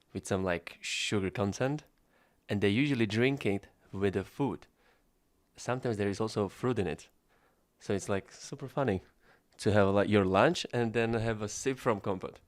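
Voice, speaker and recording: A man telling a relaxed, amused anecdote about food abroad. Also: fairly low pitch. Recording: dry with faint music behind.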